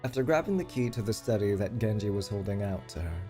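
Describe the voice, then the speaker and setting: A man's voice: lowish voice, expressive, fast, warm tone. A man streaming a game, reading a visual novel aloud and reacting casually. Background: music.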